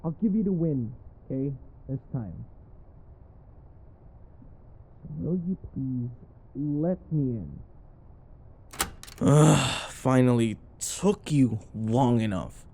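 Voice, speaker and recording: Man acting a cocky, flirty office rival, swinging pitch. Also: expressive, warm tone, heavily acted. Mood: tired, exasperated.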